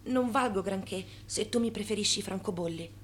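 An actress playing a yearning, reproachful young wife in radio theatre, speaking in a plaintive, insistent way. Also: female voice, medium pitch, brisk, slight background noise, lightly acted. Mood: hurt.

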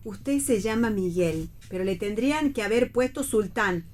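An actress playing a disapproving, conservative middle-aged mother in radio theatre, with an Argentine accent and a sharp, reproachful manner. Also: medium pitch, lively intonation, moderate pace, natural voice. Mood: defensive, pointed.

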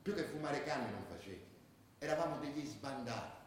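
A man's voice: medium pitch, expressive, moderate pace, natural voice. An actor playing a streetwise male ultra who looks back on a rebellious youth, in colloquial radio drama storytelling. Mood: disillusioned. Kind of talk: theatrical radio drama monologue. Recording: very echoey, distant, faint noise.

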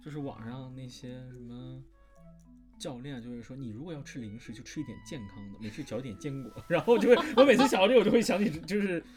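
A man speaking as a voice actor guest in a casual panel interview, informal, animated and playful in how he talks. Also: mid-range voice, quick pace. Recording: music playing behind the voice.

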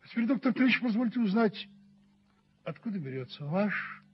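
An actor in an old radio play playing a dignified, self-righteous middle-aged landlord who speaks in measured, rhetorical phrases. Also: male voice, high-pitched, very animated, breathy edge, heavily acted. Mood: indignant, pointed. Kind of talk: 1970s Soviet radio play acting. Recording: muffled old radio recording with noise.

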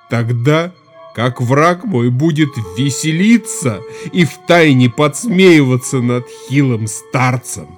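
Grief-stricken frail old Cossack chief. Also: male voice, medium pitch. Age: older. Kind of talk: literary radio drama monologue. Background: music.

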